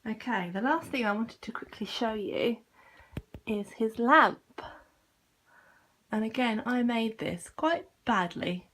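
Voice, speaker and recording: A woman's voice: a little high, expressive, slow, fairly bright tone, poor audio. A young British woman giving a casual, self-deprecating home tour in an unpolished, informal way. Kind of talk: casual informal chat to camera.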